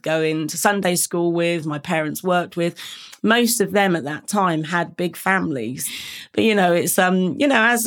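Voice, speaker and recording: Reflective British woman, low voice. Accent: British English. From England, Reading. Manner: warm, reflective, with frequent restarts and 'you know'. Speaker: guest. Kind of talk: conversational podcast interview.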